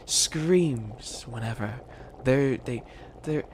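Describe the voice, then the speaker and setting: A man's voice: medium pitch, very slow, a little hiss or hum. A man playing a bumbling, dramatic bunny witch who fancies himself evil, rambling and scheming theatrically in a comedic ASMR roleplay. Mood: conspiratorial plotting.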